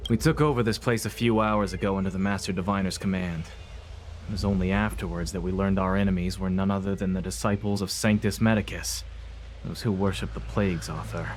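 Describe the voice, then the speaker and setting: An actor playing a stern, embittered soldier in a fantasy video game, speaking formally and gravely. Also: male voice, sits low, steady tone, a little fast, slight background noise, natural voice. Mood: grave, brisk. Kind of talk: video game character acting. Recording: loud music behind.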